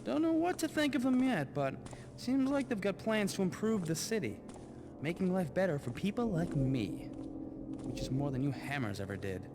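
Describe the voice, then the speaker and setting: An actor playing a brusque, sarcastic underworld trader in a video game, flippant and colloquial. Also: male voice, sits high, sing-song, normal speed, noisy background. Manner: flippant, colloquial, swooping delivery. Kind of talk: video game character acting.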